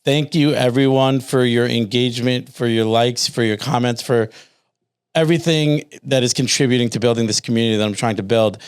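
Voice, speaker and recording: A man hosts a casual podcast interview from a studio. His voice is smooth and clear at a middling pitch. He speaks informally and effusively, in halting phrases. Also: steady tone. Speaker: podcast host.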